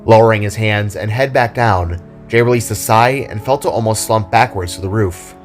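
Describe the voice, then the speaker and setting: A man's voice: fairly low pitch, normal speed. A man reading scripted fantasy fiction as a dramatic storyteller. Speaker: storyteller and author. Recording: music behind the voice.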